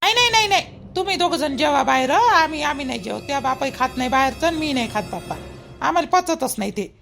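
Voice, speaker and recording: A woman's voice: sits high, lively intonation, quick pace, creaky, fairly bright tone, soft background music, heavily acted. A woman with a Vidarbha Marathi accent playing a caring, fussy mother-in-law in a village cartoon, insistent and repeating herself in a homely way.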